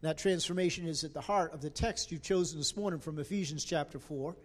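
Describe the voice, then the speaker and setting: A man, the church's pastor, introducing a guest preacher in a halting way with many pauses. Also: on the high side. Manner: halting, full of ums and uhs. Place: church sanctuary.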